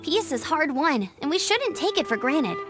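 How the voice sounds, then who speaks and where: A woman's voice: high voice, moderate pace, music in the background. A woman playing a spirited, earnest young scout in an anime-style fantasy video game. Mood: sincere, friendly. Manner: perky, earnest, expressive.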